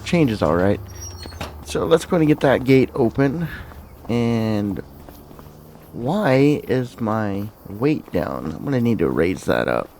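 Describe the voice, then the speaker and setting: A man's voice: lowish voice, big pitch swings, slow pace, a little mellow. Man narrating gameplay, faint background noise. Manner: thinks aloud, narrating each step. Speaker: gaming video host. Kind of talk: casual gameplay commentary with roleplay.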